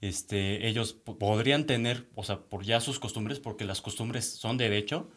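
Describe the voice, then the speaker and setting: Low-voiced man reflecting. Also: fairly bright tone. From Mexico. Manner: thoughtful, fills pauses with "este" and "o sea". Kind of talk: academic radio discussion.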